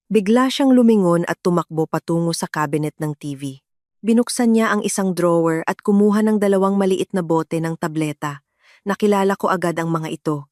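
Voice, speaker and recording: A woman's voice: lowish voice, darkish tone, dry recording, clean studio sound. A woman reading a dramatic first-person story as a scripted narration.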